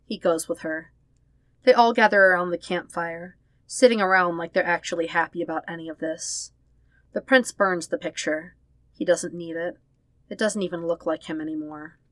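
A woman narrates a piece of fiction in a slightly low register. Her delivery is expressive, putting weight on emotional words. The recording is dry, with hardly any room sound around the voice. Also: normal speed, dry recording. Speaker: fan fiction podfic reader. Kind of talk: scripted story narration.

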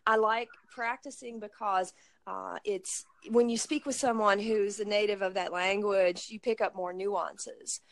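A woman's voice: mid-range voice, steady tone, a little slow, no echo. Appalachian lady in her 40s, encouraging and chatty, dry close sound. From Blue Ridge Mountains, United States. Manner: encouraging, chatty, warm praise. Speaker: conversation partner. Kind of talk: casual conversation practice.

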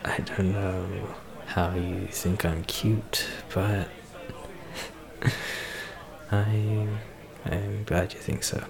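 A man acts out a shy male celebrity flirting with a stranger in an ASMR roleplay. His voice is deep and he takes his time, hesitating bashfully as he goes. A bar crowd chatters clearly behind him. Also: little pitch movement, full character voice. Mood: shy, flirty. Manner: hesitant, halting, nervous soft laughs. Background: crowd noise of a bar.

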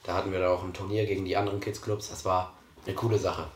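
A hoarse teenage boy co-hosting a podcast, chatting casually and joking with many restarts. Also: deep voice, flat delivery, fast talker, a little hiss or hum. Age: teens. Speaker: podcast co-host. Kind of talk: casual chat. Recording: roomy echo.

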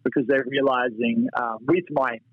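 A man's voice: mid-range voice, fairly even intonation, moderate pace, breathy edge. Rambling Australian man on a dull line. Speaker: guest. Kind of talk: casual interview chat.

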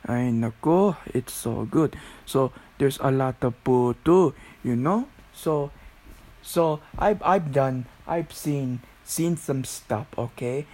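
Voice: medium pitch, slow pace, dark tone. A teenager with a Filipino accent giving a casual, rambling solo monologue, repetitive and given to exclamations. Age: teens, about 16. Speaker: video creator.

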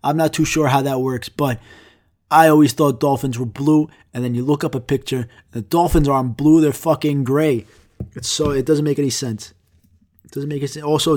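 A young man hosting his own podcast while sick, rambling casually with lots of swearing and deadpan jokes. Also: medium pitch, moderate pace. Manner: profane, rambling, deadpan jokey. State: sick, congested. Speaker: podcast host.

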